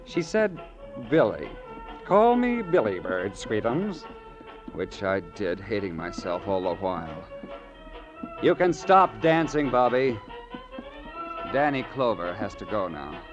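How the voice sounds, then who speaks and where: A man's voice: lowish voice, big pitch swings, takes it slowly, long pauses. An actor playing an eccentric, coy and catty man with a mannered, teasing delivery, in old-time radio drama style. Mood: catty, reminiscing. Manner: mannered, hesitant, teasing digressions. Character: affected, eccentric twin brother, coy and catty. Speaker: actor in a radio detective series. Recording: muffled vintage radio recording, music behind.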